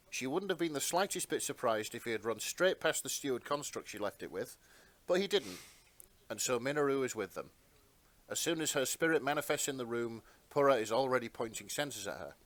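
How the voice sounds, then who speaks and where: A man's voice: mid-range voice, fairly bright tone. A man narrating fan fiction in a dramatic reading style.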